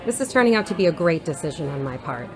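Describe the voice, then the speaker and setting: A woman's voice: sits low, big pitch swings, fast talker, music in the background. A woman playing a helpful, patient young aspiring actor in a naturalistic audio drama, reassuring and lightly wry.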